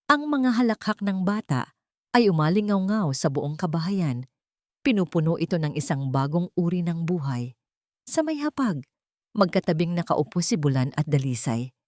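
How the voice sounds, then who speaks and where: Low-voiced woman narrating a story. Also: darkish tone, no echo, clean studio sound. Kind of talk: scripted narration of a sentimental Tagalog love story.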